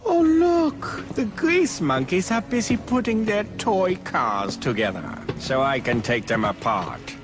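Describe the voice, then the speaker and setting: Suave, swooping, sneering racing villain. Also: male voice, high voice, sing-song, unhurried, few pauses, over music. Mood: mocking. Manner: theatrical, drawling, condescending. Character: suave sneering villainous male race driver. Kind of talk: animated video game cutscene acting.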